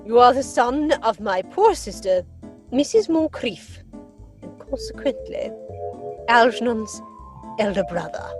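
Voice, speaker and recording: A woman acts a haughty older aunt of high society in a comic play. Her delivery is pompous and very animated, over a faint musical score. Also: measured pace, pauses often, soft background music. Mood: grave disdain. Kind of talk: stage comedy dramatic reading.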